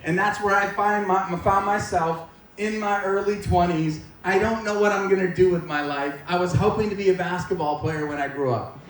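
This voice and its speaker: An echoey, slightly distant recording of an American man in his forties preaching his own story. His voice sits a little high for a man. He talks informally and pokes fun at himself as he goes, with faint noise underneath. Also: steady tone, normal speed. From Maine, United States. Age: 40s. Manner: informal, self-deprecating, joking. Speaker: guest speaker giving testimony at church. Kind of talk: personal testimony preached to a live audience. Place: large echoey indoor room, speaking to a gathering.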